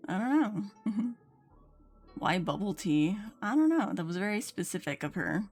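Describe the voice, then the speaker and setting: A woman's voice: medium pitch, very animated, a little slow, darkish tone. A woman streamer giving casual, playful and teasing commentary while she plays a game. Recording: game music under the voice. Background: game music.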